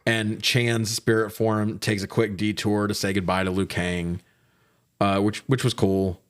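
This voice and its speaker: A man hosting a casual film review podcast, recapping in an animated, profane way with frequent laughter. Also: fairly low pitch, a little slow. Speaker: podcast host reviewing films. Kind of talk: casual recap and banter between friends.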